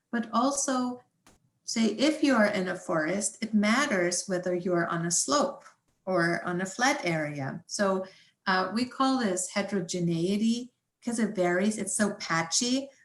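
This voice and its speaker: A woman scientist with a German accent answers questions in an informal, explanatory way. Her pitch sits in the middle range for a woman. The recording is roomy and echoey. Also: animated, unhurried. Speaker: guest environmental scientist and researcher.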